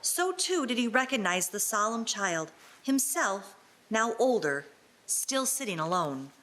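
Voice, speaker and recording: A woman's voice: fairly high pitch, sing-song, unhurried, a bit bright. A woman narrating a scripted story in a radio-play style.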